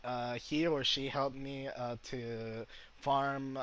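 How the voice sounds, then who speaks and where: A man doing informal let's-play commentary, thinking aloud in a halting, casual way. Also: mid-range voice, level tone, a bit bright. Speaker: gaming streamer. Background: game music.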